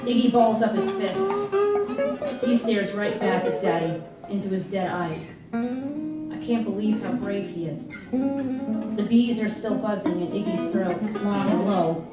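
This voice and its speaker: A woman's voice: mid-range voice, animated, unhurried. A woman giving a dramatic first-person reading of a story to an audience as a live performance. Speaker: reader performing a novel. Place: live performance in an arts venue. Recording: very echoey hall, far from mic, loud guitar. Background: loud plucked guitar music.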